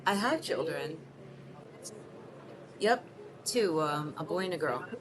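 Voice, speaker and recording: A woman in her thirties from Mexico City, acting a single mother who answers unheard questions haltingly, with many ums and restarts. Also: low voice, animated. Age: 30s. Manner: hesitant, filled with ums and restarts. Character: 38-year-old single mother, soft-spoken and self-conscious. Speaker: interviewee in a short film. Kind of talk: scripted film monologue, answering unheard questions. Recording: noticeable background hiss.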